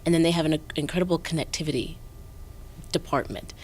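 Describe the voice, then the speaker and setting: Low-voiced woman, expressive and fluent. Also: brisk, slight background noise. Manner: fluent, expressive, trails off softly. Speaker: actor guest. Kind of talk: casual interview.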